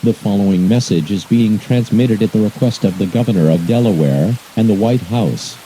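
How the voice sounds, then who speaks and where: A man's voice: on the low side, mellow. A man reads a scripted emergency alert announcement in a formal, impersonal manner. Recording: muffled, hissy emergency broadcast audio.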